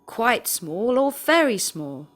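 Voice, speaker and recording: Female language-lesson questioner, slightly high and melodic, careful scripted delivery. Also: very animated, takes it slowly, a bit bright. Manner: careful, clearly phrased questioning. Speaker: language teacher asking drill questions. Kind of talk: scripted question drill, read aloud.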